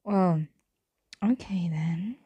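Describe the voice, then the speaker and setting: A woman acting a flirtatious, teasing roommate playing vampire in an intimate ASMR roleplay, speaking softly and often whispering, with hesitant murmurs. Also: lowish voice, very slow, full character voice. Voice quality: soft, breathy, frequently whispering.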